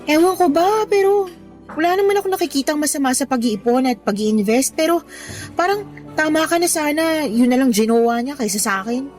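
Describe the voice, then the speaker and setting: Woman acting a meek, high-voiced Filipino girlfriend. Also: a little fast, darkish tone, faint music behind, natural voice. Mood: wistful. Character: meek, wounded young woman, devoted girlfriend. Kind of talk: Filipino radio drama acting.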